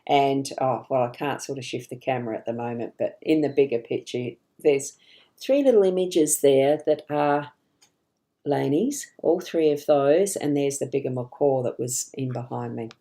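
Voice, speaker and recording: Woman, middle-aged, rough morning voice, mellow, casual live-stream host, chatty, greets viewers. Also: very deep voice, moderate pace. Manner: chatty, greets viewers by name, frequent ums and restarts. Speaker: psychic card reader hosting a live stream.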